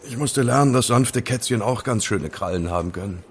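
A man's voice: mid-range voice, fairly quick, a little hiss or hum, lightly acted. An actor playing an earnest, awkward, curious young amateur investigator in an audio drama, hesitant in his speech. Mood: rueful, earnest.

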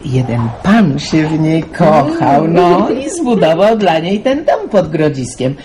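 A woman's voice: deep voice, sing-song, slow pace, a loud crowd, heavily acted. A woman acting a kindly, plainspoken older aunt in a Polish radio drama. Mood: reminiscing. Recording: noisy 1990s radio play recording.

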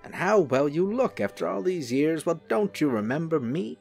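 An actor playing a charming, mysterious angel in human guise, ingratiating, in period drama style. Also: male voice, on the high side, big pitch swings, normal speed, darkish tone, faint music behind. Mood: effusive, charming. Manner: smooth-talking, ingratiating. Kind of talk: period radio-drama acting.

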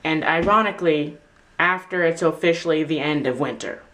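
A man's voice: on the high side, moderate pace, fairly bright tone, slight background noise, rough recording. A teenage boy with a stuffy, nasal voice from a cold, chatting in a rambling, self-deprecating daily vlog to a camera held at arm's length. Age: teens. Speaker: vlogger. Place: rooms of a house and outdoors. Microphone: handheld camera at arm's length.

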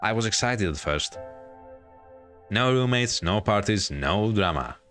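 A man with a very low voice narrates a visual novel for a gaming video. His delivery is drawn-out and dramatic, with chatty asides. Soft game music plays behind him. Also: lively intonation. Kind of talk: reading a visual novel aloud with casual commentary.

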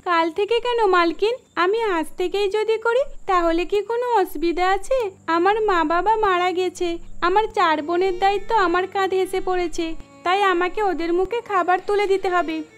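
A woman's voice: very high-pitched, steady tone, normal speed. A woman voicing an anxious, dutiful twelve-year-old orphaned eldest sister in a cartoon, with a plaintive, earnest manner. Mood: pleading. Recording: music under the voice.

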